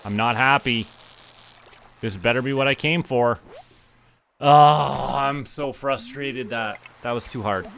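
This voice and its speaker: A man's voice: mid-range voice, takes it slowly, vocal fry, long pauses, fairly bright tone, a little hiss or hum. A man chatting casually to himself and to viewers as he streams a game. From Canada. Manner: talks to himself and viewers while playing. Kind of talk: casual game livestream chat.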